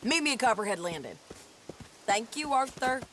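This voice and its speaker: A woman's voice: a little high, big pitch swings, normal speed, fairly bright tone, a little hiss or hum. An actress playing a tough, determined frontier woman with an American Western accent in a video game, blunt and headstrong. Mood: resolute. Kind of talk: dramatic video game acting.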